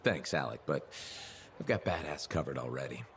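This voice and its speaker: Low male voice, confident young adventurer, dry and wry, game dialogue, ambient noise behind. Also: expressive, normal speed, a bit bright. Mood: teasing. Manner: dry, wry, level-headed. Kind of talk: video game cutscene acting.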